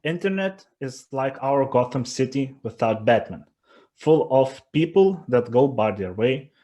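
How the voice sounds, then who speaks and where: A man with a Lithuanian accent giving an earnest startup pitch presentation, with frequent fillers and restarts. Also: expressive, measured pace, warm tone.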